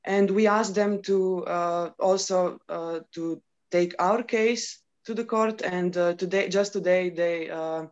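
A woman's voice: on the low side, steady tone, takes it slowly, a little mellow, muffled recording. A woman from Croatia, an artist, speaking on a video call panel with frequent hesitations. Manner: hesitant, plain-spoken. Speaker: performance artist.

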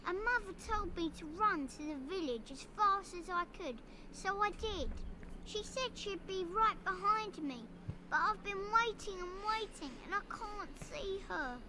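An actor playing a lost, trusting young farm child who is anxious for a missing mother, in a video game. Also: very high voice. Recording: clear village ambience noise behind.

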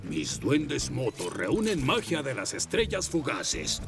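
Theatrical, unhurried old cartoon Santa motorbike. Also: medium pitch, music in the background, exaggerated voice. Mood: measured. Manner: theatrical, expressive. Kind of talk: animated cartoon dubbing.

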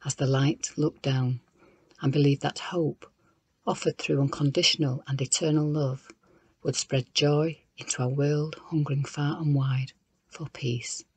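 A man giving a scripted devotional reading as liturgist, in a solemn, measured way with pauses. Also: a little high, steady tone, slightly rough, dry recording. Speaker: reader of a liturgy.

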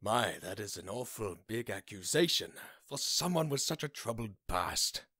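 A man playing a sly, condescending noble villain, slow, hushed and taunting, in fantasy drama style. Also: fairly low pitch, big pitch swings, no echo, studio quality, heavily acted. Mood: smug, taunting.

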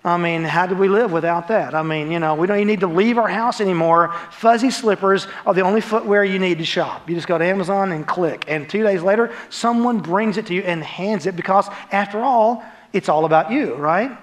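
High-pitched American man preaching. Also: brisk, hardly any pauses. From United States. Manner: conversational, emphatic, rhetorical tag questions. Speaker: pastor. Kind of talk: sermon. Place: large dark church auditorium, on stage.

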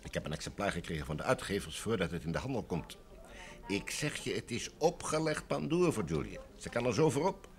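A worldly, breezy male film director in a vintage radio play. His voice is deep and very animated, with sociable, slangy delivery. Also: brisk. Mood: breezy, keen. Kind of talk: old Dutch radio drama acting.

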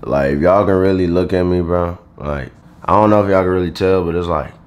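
A man's voice: deep voice, brisk, muffled recording. A young man vlogging from his bedroom right after waking up, groggy and rambling in loose slang with lots of fillers. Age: young adult. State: groggy, just woke up. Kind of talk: casual vlog talking to camera. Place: bedroom at home, indoors.

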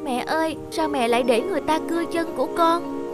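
A woman's voice: high voice, fast, loud music behind the voice, lightly acted. A woman voicing an emotional, pleading young girl in a cartoon drama. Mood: bitter. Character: distressed, bitter young girl who lost her leg.

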